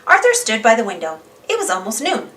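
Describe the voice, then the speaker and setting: Bright high lady voice reading a kids book out loud, really expressive. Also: a little fast, recorded from a distance. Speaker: storybook reader. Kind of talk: animated children's read-aloud narration.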